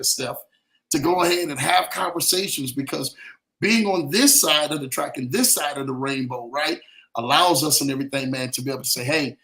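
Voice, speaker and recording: A man's voice: on the high side, sharp tone. A man from New Orleans giving motivational podcast talk as a credit coach, emphatic and preacherly, addressing listeners directly. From New Orleans, United States. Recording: roomy, echoing sound.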